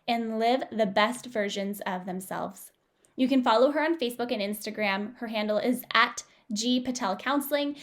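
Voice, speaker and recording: A young woman hosting a podcast, speaking with upbeat enthusiasm and laughing often. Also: a little high. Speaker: podcast host. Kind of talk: podcast promo and interview.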